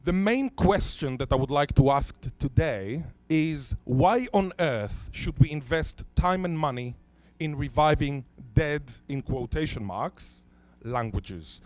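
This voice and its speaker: A man's voice: fairly high pitch, animated. Man lecturing with rhetorical questions. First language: Hebrew. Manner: rhetorical questions, deliberate emphasis. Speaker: conference speaker. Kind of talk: academic conference talk. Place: lecture hall at a conference.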